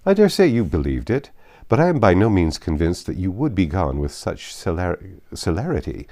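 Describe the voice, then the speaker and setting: A man voicing a proud, reserved young gentleman in an audiobook of a classic novel, speaking in a formal, measured, cutting way. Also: deep voice, big pitch swings. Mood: stern, lecturing.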